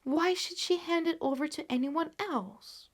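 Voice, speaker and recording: A woman's voice: very high-pitched, measured pace, voice pushed up. A woman voicing a confident, analytical male detective in a storybook reading, assured and instructive.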